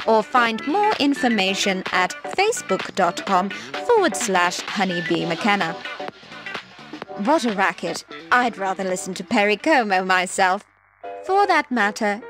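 Animated female old-time radio announcer over music. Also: medium pitch. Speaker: advertisement announcer.

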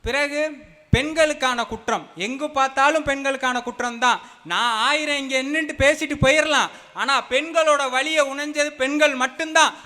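A man's voice: extremely high pitch, fairly even intonation, moderate pace, bright, forceful tone. A young man giving a fiery, emphatic political speech at a public meeting. He mixes slang with formal phrasing. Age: young adult. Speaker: party speaker at a public meeting.

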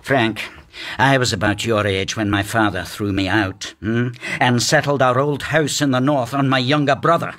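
A man's voice: medium pitch, steady tone, normal speed, a bit bright, close to an everyday voice. An actor playing a stern, sarcastic middle-aged father and businessman in a formal period radio drama. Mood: scornful.

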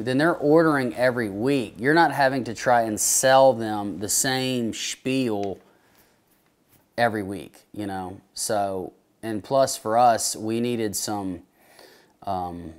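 A man in his thirties, a trout farmer, talking in a relaxed, chatty way full of fillers during an indoor interview. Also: medium pitch. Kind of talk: casual interview. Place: indoors at a table in a room.

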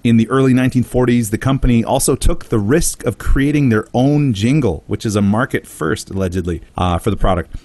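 A man's voice: mid-range voice, fairly quick, a little mellow, no room sound. A man hosting a casual comedy podcast, telling a story with jokes and silly put-on voices for quotes. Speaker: podcast host.

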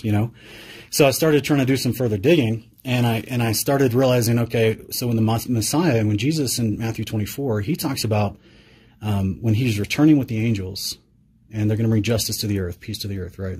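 A man's voice: lowish voice, fairly quick, a little mellow, husky. A man interviewing a guest in casual conversation, thinking aloud and searching for words with many fillers.

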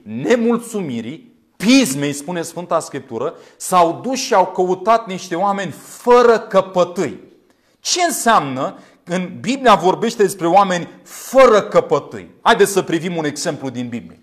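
A man in his thirties from Romania preaching a sermon in an earnest, emphatic way, using deliberate repetition. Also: sits high, very animated. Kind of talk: sermon with scripture commentary. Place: small indoor room, standing at a glass pulpit. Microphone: lapel mic.

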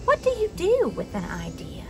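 A young adult woman reading a children's picture book aloud expressively, with dramatic pauses and emphasis. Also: extremely high pitch, wildly swooping pitch, vocal fry, mellow, faint music behind. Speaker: storybook reader for a children's church message. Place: outdoors on a wooden deck among trees.

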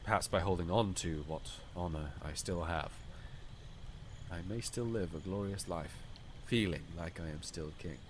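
A man voicing a noble, weary warrior king in an audiobook, speaking in a formal, grave and measured way. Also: low voice, slight background noise, natural voice. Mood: solemn, resigned. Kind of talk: audiobook character dialogue.

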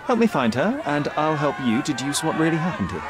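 A confident young detective, lively pitch. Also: male voice, brisk, over a noisy crowd, very noisy. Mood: persuasive, pointed. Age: young adult. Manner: articulate, polite but pointed. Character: confident young detective, persuasive, probing, self-assured. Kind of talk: video game cutscene acting.

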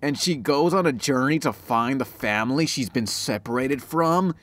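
A man's voice: medium pitch, normal speed, a bit bright, lightly acted. A man voicing an anxious, lonely young girl from a video game in a plaintive, melodramatic manner. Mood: earnest, sad. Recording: clear background noise.

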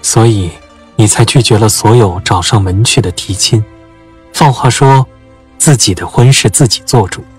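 An actor playing a gentle, observant young man in a period audio drama, with a husky voice. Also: lowish voice, moderate pace, soft background music. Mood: gently amused.

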